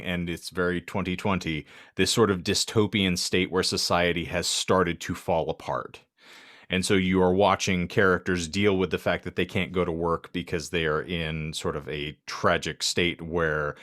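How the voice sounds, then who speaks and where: A man's voice: sits low, fairly bright tone, no echo, clean studio sound. A man co-hosting a podcast, talking casually about books in wordy, articulate phrasing. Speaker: podcast co-host. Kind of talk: casual book chat.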